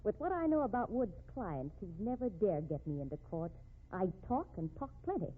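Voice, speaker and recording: A woman's voice: medium pitch, sing-song, normal speed, breathy edge. A woman playing a brazen, scheming office embezzler in a 1950s radio mystery, sassy and slangy. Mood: defiant. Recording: tinny narrowband 1950s radio recording.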